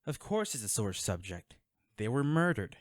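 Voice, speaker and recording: A dry, intimate recording carries a man acting as a wealthy, secretive vigilante in an audio drama. He is medium-pitched and very animated, moving between sarcasm and curt menace. He speaks straight at the listener, guarded and threatening. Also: normal speed, no room sound, close to an everyday voice. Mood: tense.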